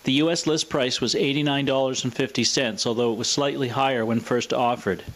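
A man presenting a scripted, explanatory product demonstration in a measured, matter-of-fact way. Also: medium pitch, steady tone, fairly bright tone. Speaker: presenter of a vintage radio showcase video.

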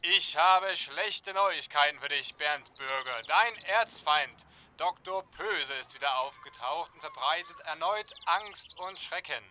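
A man acting a sharp, forceful, grave president. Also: a little high, a little slow, a little hiss or hum. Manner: solemn, dramatic. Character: grave, urgent male president giving mission orders. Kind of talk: comic audio drama acting.

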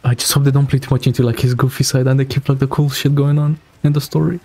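A man's voice: medium pitch, little pitch movement, quick pace, warm tone, slightly rough. A young man giving casual, slangy live reaction commentary as a streamer, talking into a close mic. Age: young adult. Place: small home room, indoors. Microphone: close broadcast mic on boom arm. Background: music from the video being watched.

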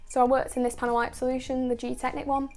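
A woman narrating a car detailing video in a steady, explanatory voice-over, going through each step and product methodically. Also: high voice, quick pace, faint music behind. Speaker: car detailing channel host.

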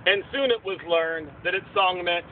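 A storybook bard, played by a man, with a high male voice. He declaims rhyming narration in a measured, solemn way. The recording is tinny with faint noise. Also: natural voice. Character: storybook bard narrator telling a seaside legend. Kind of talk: scripted verse narration for puppet theater.

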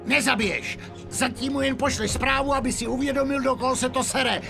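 An actor playing a curt, bossy mob boss giving coarse orders in a dubbed game cutscene. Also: male voice, very high-pitched, fairly even intonation, vocal fry. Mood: stern. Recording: music behind the voice.

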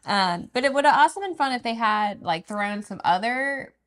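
A woman's voice: on the high side, expressive, moderate pace, fairly bright tone. Easygoing woman co-hosting a travel vlog. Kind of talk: casual review chat.

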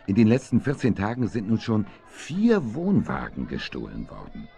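A man's voice: lowish voice, wildly swooping pitch, normal speed, warm tone. An actor playing a courteous, official policeman in a German children's radio play, speaking formally. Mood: official, polite. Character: polite, official policeman on patrol.

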